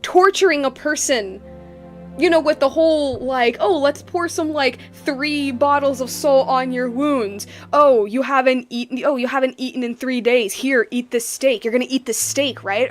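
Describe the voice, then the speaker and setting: A woman streaming a video game with casual, slangy, excitable commentary. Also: high voice. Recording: music behind the voice. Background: game music.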